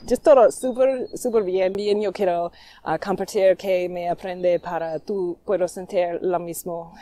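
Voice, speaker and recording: Low mellow woman. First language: English. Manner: halting, many fillers, warm well-wishing. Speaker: vlogger. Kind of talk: casual vlog talk.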